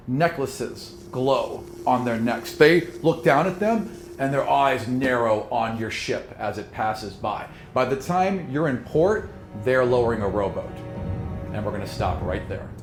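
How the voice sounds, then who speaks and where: A man narrating a tabletop role-playing game as game master, moving between scripted description and conversational, improvised scene-setting with repeated phrases. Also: mid-range voice.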